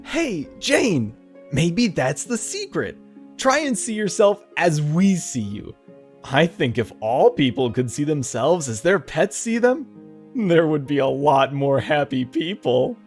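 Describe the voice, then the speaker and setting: A kindly pet animal, played by a woman in a radio play. The voice is slow and very melodic, fond and encouraging over soft music. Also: low voice, sing-song, full character voice.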